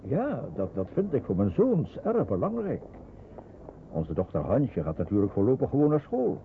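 A sober middle-aged father and refugee in a radio play. He is earnest and measured, his pitch moving widely, on a tinny old narrow-band recording. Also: big pitch swings, vocal fry, a little hiss or hum. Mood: hopeful, hesitant. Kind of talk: radio drama acting.

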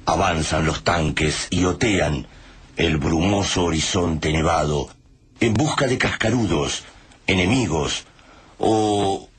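A man narrating a radio serial from a script, dramatic, solemn and deliberate. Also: deep voice, husky. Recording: radio drama with noisy scene effects.

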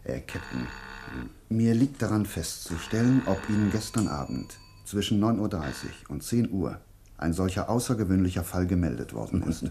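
An actor playing a courteous but firm doctor who asks deliberate, hesitant questions in a German radio play. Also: male voice, deep voice, big pitch swings, gravelly, dark tone, slight background noise, heavily acted. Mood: probing, courteous.

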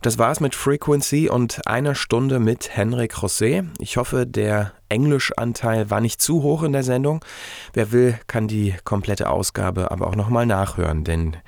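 A man's voice: mid-range voice, moderate pace, gravelly, darkish tone, no room sound, studio quality. A male radio host giving a plain, informative scripted sign-off to his music show.

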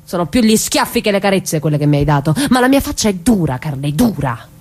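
Casting: woman to play a defiant mother in a stage drama, lower register, bitter, blunt, hammering repeated phrases. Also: lively intonation, fast, darkish tone. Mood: scornful, defiant. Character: defiant, bitter mother standing up to a mafia man.

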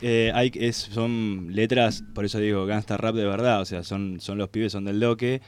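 A man's voice: on the low side, fairly even intonation, normal speed, smooth, dry recording. An Argentine man interviewing casually on the radio, with informal, slangy talk.

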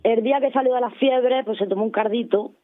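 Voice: mid-range voice, fairly even intonation, a little fast, lightly acted. A gossipy band member eagerly retelling a backstage fight, as an actor plays them. The recording sounds dull and lo-fi. Faint music sits underneath.

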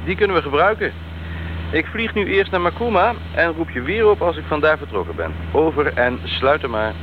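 Brisk bush pilot over loud engine drone. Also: male voice, on the high side, sing-song. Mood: brisk, upbeat. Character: brisk, cheerful missionary bush pilot on the radio. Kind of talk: radio play acting, radio call procedure. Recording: dull radio-play recording, loud engine hum. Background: loud aircraft engine hum.

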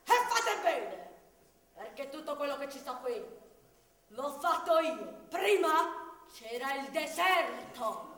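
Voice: high voice, sing-song, slow pace, a bit bright, recorded from a distance, exaggerated voice. An actor playing a pompous, boastful and crafty Dottore in a commedia dell'arte stage comedy, emphatic and stubborn. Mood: boastful, prying. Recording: very echoey, faintly noisy stage recording.

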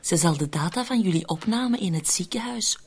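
An actress playing a wary, calculating murder plotter in a radio drama, probing and sceptical in measured speech. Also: female voice, on the low side, expressive, slightly rough.

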